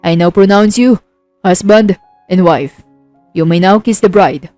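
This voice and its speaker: A woman voicing a formal male priest who proclaims in a measured way in an audio story. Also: mid-range voice, mellow, dry recording, soft background music, close to an everyday voice. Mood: solemn, announcing. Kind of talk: dramatized audio story dialogue.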